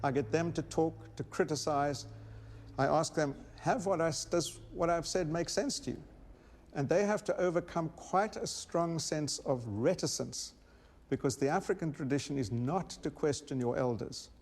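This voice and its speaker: A man, a university lecturer, answering interview questions in a thoughtful, hesitant way with pauses. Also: fairly high pitch, darkish tone.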